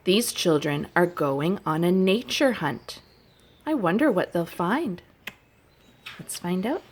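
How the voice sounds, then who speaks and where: A woman who teaches young children, chatting and reading aloud gently in simple, unhurried phrases with little explanations. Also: lowish voice, expressive, breathy edge. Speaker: childcare educator leading story and song time for toddlers.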